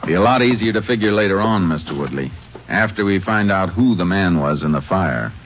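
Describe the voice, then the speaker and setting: Low-voiced, terse Texas Ranger. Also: male voice, expressive. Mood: dry, thoughtful. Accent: American. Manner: terse, measured, matter-of-fact questioning. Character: calm, probing Texas Ranger investigating a crime. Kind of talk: old-time radio crime drama acting and narration. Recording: muffled, noisy old radio broadcast recording.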